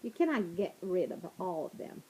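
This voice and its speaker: A woman interviewing a teenager, speaking haltingly and repeating words, with non-native phrasing. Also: on the low side, big pitch swings, warm tone, a little hiss or hum, poor audio. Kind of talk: casual interview questions.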